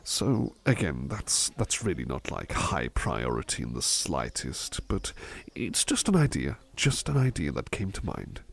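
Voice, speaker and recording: Man playing a low, slow, gentle butler. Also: big pitch swings, vocal fry, exaggerated voice. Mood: attentive, courteous. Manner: tender, hesitant, courteous, softly reassuring. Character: devoted, gentle, polite male butler companion. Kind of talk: ASMR roleplay, soft personal attention.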